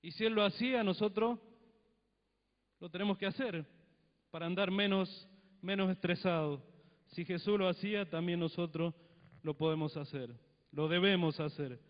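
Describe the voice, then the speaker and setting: A man's voice: sits high, moderate pace, pauses often. A middle-aged man with a Cuyo Argentine accent preaching a sermon on a handheld mic in a church hall, chatty, with frequent tag questions. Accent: Cuyo Argentine Spanish. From Mendoza, Argentina. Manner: conversational preaching, tag questions, acts out little dialogues. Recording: dull lo-fi sound, long hall reverb.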